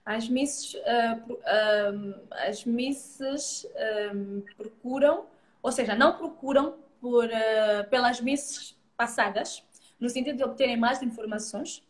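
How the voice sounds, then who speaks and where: A woman hosts a live online chat in a fairly high voice. She often hesitates, fills pauses with hums and breaks in to agree. Her call audio sounds roomy. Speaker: host of a live show. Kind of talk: casual live video-call interview. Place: indoors, plain magenta wall. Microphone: video call from a laptop.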